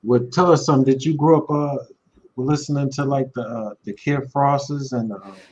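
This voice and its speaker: A man's voice: medium pitch, fairly even intonation, fairly quick, darkish tone. A man co-hosting a casual interview, asking questions in a laid-back way. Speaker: co-host. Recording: dull lo-fi sound with faint noise.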